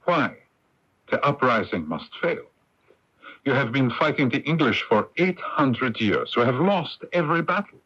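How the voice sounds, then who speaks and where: Persuasive German statesman, radio drama, animated delivery, insistent, muffled AM broadcast sound. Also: medium pitch, unhurried, husky, heavily acted. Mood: insistent, scornful.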